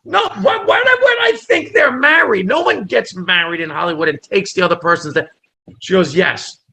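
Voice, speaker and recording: A man with a bright, forward voice, pitched a little above the usual for a man. He spins a lively tale and acts out each person in it. Italian was his first language. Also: normal speed, creaky. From New Jersey. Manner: animated storyteller, acts out dialogue. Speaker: comedian guest. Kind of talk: casual storytelling interview.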